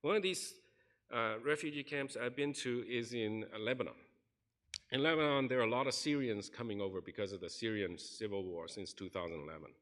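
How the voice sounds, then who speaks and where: A man's voice: medium pitch, a little fast, a bit bright. A man preaching a sermon in a church, earnestly telling a story and acting out the people in it. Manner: earnest, recounts stories and acts out quoted people. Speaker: pastor. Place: church sanctuary.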